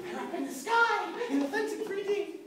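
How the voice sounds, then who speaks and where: A man voicing a frightened young boy who yells in high, frantic bursts, heard from a distant mic in an echoey, noisy hall. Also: lively intonation, moderate pace. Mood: terrified.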